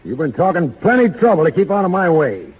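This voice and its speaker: An actor playing a sneering, bullying American cattle rustler in a 1930s radio western, taunting and menacing in a folksy way. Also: male voice, high voice, very animated, fairly quick, in a large hall. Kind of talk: 1930s radio drama acting. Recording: tinny, noisy old radio recording.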